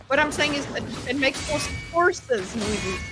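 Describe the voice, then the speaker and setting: A woman's voice: high voice, expressive, measured pace, over loud music. A woman hosting a livestream panel, chatting casually in a playful, joking way. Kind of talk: casual reaction chat. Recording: noisy livestream voice chat.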